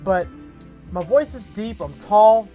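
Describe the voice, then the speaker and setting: A woman in her thirties with a deep voice, hosting her own podcast in a frank, casual monologue full of swearing and rhetorical asides. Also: lively intonation, slow pace, mellow, soft background music. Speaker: podcast host.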